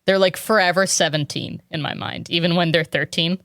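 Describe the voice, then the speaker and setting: Chatty woman with a smooth, lowish voice. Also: steady tone, fairly quick, fairly bright tone, no echo, studio quality. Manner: chatty and analytical, many "like"s. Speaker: podcast co-host. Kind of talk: casual book podcast chat. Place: home room with a cat.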